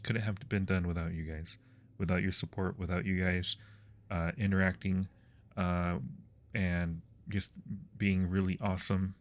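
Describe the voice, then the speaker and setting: A man hosting a livestream, chatting casually with his viewers while playing games. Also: on the low side. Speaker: livestream host.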